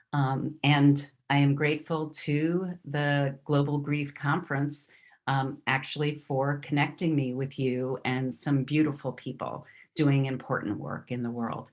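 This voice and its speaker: A middle-aged woman talking as a guest on a podcast over a remote call, unhurried, with many fillers and restarts. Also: low voice. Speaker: guest speaker. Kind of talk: casual podcast conversation.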